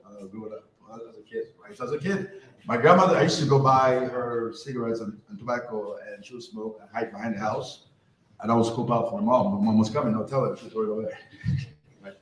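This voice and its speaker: A man from France giving a conversational talk to a live audience, often asking the crowd questions. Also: mid-range voice. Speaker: conference speaker. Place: event hall. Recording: roomy hall with faint ambience.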